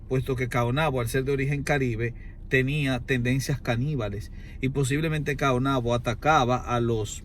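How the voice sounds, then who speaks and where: Dominican man, medium pitch, formal oratorical delivery, emphatic repetition, argumentative explanation, background noise. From Dominican Republic. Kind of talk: extended argumentative explanation in conversation.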